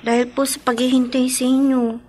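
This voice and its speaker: A woman's voice: high voice, little pitch movement, moderate pace, darkish tone, slight background noise, lightly acted. A woman playing a dutiful, anxious young child in a radio drama, earnest and polite. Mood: gentle concern.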